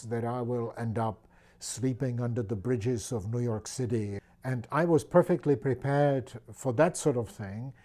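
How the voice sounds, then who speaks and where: Czech-accented man, mellow reflective voice. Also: medium pitch. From Czech Republic. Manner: thoughtful, with frequent fillers and restarts. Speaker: interviewee. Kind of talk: reflective personal interview.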